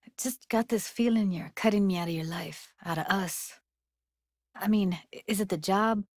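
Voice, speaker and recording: A woman's voice: mid-range voice, normal speed, no echo, studio quality, close to an everyday voice. An actress playing a young, streetwise female techie in a video game, blunt and guarded but emotional, speaking in casual, clipped, intimate slang. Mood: hurt, searching. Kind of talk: naturalistic video game dialogue acting.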